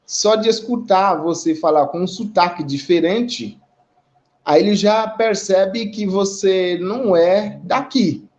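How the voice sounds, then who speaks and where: A man with a Haitian accent talks unhurriedly over a video call, pausing often and checking in with tag questions. His voice sits fairly high, and the stream audio is dull and lo-fi. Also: darkish tone. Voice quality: measured, reflective, with frequent pauses and tag questions. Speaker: guest representing an immigrant community. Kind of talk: conversational testimony in a live online discussion.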